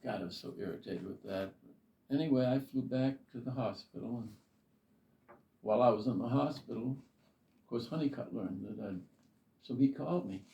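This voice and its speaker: An older man with a Boston accent, reminiscing in a life story interview in a halting, meandering way. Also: medium pitch, creaky, dark tone. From Boston area, United States. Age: older, 70s or above. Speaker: interviewee, retired army officer. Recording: roomy, distant, slightly muffled.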